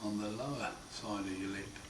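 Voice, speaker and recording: A man with a croaky, hoarse voice after throat surgery, talking slowly and wryly in a casual home video diary. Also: lowish voice. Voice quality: hoarse, partly breathy. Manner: slow, wry, plain-spoken, often pausing. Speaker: patient sharing his recovery. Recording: roomy echo, far mic, noisy.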